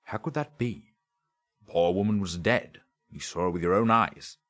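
A man's voice: very deep voice, unhurried. A man voicing a stern, impatient and sceptical police inspector in an audiobook story, with a brusque, scornful way of questioning. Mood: incredulous.

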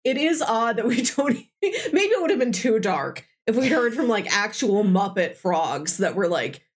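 A woman's voice: fairly high pitch, sing-song, measured pace. Woman chatting casually, laughing easily. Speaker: podcast co-host. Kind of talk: casual film discussion chat. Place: recorded in person with co-host.

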